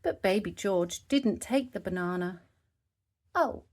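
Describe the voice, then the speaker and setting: Slow, animated woman reading a storybook. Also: on the low side. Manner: expressive, clearly phrased for young children. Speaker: storybook reader. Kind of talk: children's read-aloud narration.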